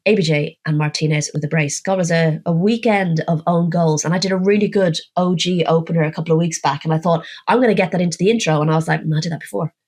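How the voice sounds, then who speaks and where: A woman hosts a casual football podcast, her nasal voice bunged up and croaky from a cold. She talks in a chatty, self-mocking way, rattling through scores. Her voice sits a little low. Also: quick pace, hardly any pauses, darkish tone. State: congested, croaky voice. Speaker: podcast co-host.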